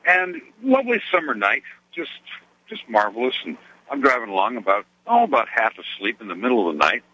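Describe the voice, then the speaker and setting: A man, a truck driver, calling in to a radio show and telling a story in a genteel, lively way, with little laughs. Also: mid-range voice, a little fast, breathy edge, lo-fi sound. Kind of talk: telling a story on a phone call-in.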